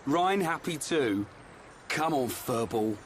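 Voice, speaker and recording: Blunt, animated young British fighter. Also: male voice, mid-range voice, takes it slowly, a little hiss or hum. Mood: grumbling. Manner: blunt, informal. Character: brash, grumbling young male fighter, blunt. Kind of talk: video game acting.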